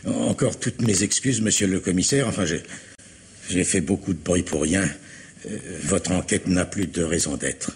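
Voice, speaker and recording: An actor playing an anxious, defensive middle-aged factory owner in a French radio mystery, speaking formally with agitated hesitation in a husky voice. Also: male voice, sits low, normal speed, full character voice. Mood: defensive, flustered.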